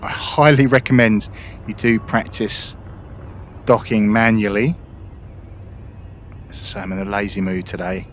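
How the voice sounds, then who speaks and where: A man's voice: fairly low pitch, fairly even intonation, unhurried, pauses often, muffled recording, slight background noise. A man hosting a gameplay video, explaining things informally in casual commentary. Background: spaceship game sounds.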